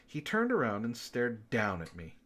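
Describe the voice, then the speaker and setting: A man with a mid-pitched, very lively voice reads fiction aloud as a book club co-host. His delivery swings expressively up and down, and he drops in sarcastic, exasperated asides. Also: normal speed. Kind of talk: expressive reading of amateur fiction with mocking asides.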